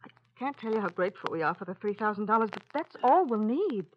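A woman's voice: medium pitch, lively intonation, fast, slight background noise, natural voice. An actress playing a proud, anxious mother of a sick child in an old-time radio drama. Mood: grateful, proud. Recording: muffled old radio recording.

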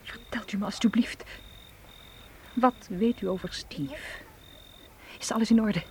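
A fairly high-pitched young woman, played by an actress in a radio thriller. She is polite and anxious, with a hesitant delivery. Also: a little slow, a little mellow, slight background noise, natural voice. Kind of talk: radio drama acting.

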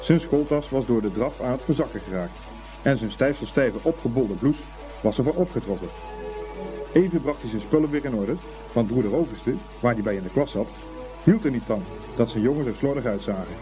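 A man narrating a scripted story for a Dutch radio play in an expressive, unhurried storytelling manner. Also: mid-range voice. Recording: dull old radio recording, faint music.

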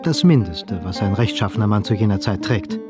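A man's voice: lowish voice, animated, a little fast, over loud music. A man narrating a radio play from a script as its storyteller.

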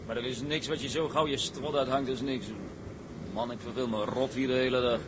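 Male actor for restless tug sailor: medium pitch, blunt, colloquial, seafaring drama, noisy engine-like background. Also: steady tone, brisk, natural voice. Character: bored, restless young-hearted male tug deckhand. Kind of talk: dramatised seafaring story, scripted acting.

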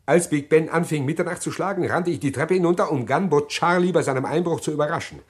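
An actor playing a middle-aged man who calmly confesses a murder plot in an old radio play, measured and hesitant. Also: fairly high pitch, close to an everyday voice. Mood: calm confessing. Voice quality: measured, deliberate, hesitant with pauses. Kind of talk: 1950s radio drama acting.

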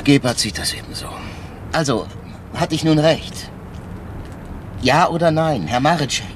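A man's voice: fairly high pitch, animated, measured pace, slight background noise. A male actor playing a shrewd, persistent police inspector in a radio crime drama, insinuating and mock-deferential as he argues step by step. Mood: needling. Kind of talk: naturalistic German radio crime drama acting.

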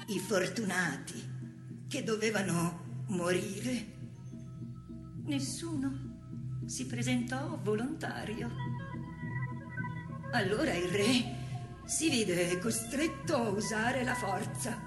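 A haunted, reflective woman in a poetic stage monologue. She speaks very slowly and deliberately, in a slightly high voice. The sound is echoing, with dramatic, measured phrasing. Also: lively intonation. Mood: grave storytelling. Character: haunted, reflective woman recalling childhood fear of spirits. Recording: echoing theatrical sound with prominent music. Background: music.

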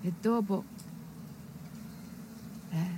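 A woman's voice: mid-range voice, extremely slow. A woman playing a mournful townswoman from a poor quarter, narrating a radio drama. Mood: mournful recollection. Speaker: narrator in a radio stage serial. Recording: faint background noise.

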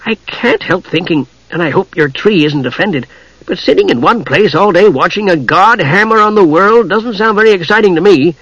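A man's voice: high voice, animated, moderate pace, a bit bright, husky, no echo, lo-fi sound, voice pushed up, full character voice. A man voicing a small, naive, chatty kender adventurer who rambles eagerly, in a fantasy story reading.

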